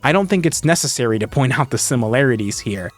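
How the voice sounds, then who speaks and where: Man, scripted video essay narration, casual asides, medium pitch, faint background music. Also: expressive, quick pace.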